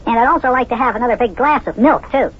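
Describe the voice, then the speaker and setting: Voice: mid-range voice, fast, slight background noise, close to an everyday voice. An actor voicing an eager, chatty little boy elf with a chirpy, polite manner in an old children's radio serial. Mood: cheerful. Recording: muffled, lo-fi old radio recording.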